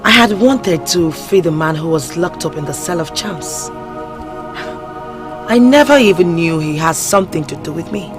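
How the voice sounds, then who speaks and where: A woman's voice: on the low side, lively intonation, normal speed, over music, lightly acted. An actress playing a young princess who pleads tearfully for the man she must marry. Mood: pleading. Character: young anxious princess pleading for her beloved.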